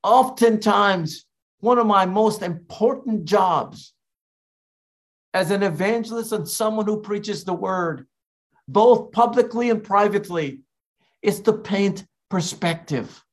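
A man from Guyana, an evangelist, preaching a sermon in a slow, deliberate way with emphatic rises. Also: high voice.

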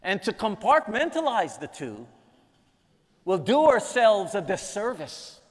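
Man from guyana preaching slow n emphatic, repeats lines, big church hall echo. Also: high-pitched, very animated. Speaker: preacher teaching a lesson. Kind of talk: sermon with prayer. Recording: long reverb in a large hall.

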